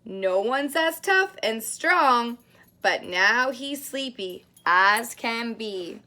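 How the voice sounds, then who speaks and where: A woman with a high, bright voice reads a children's storybook aloud at a very slow pace. She is expressive and sing-song, stretching out words as a preschool teacher does for little listeners. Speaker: daycare teacher reading a picture book to young children.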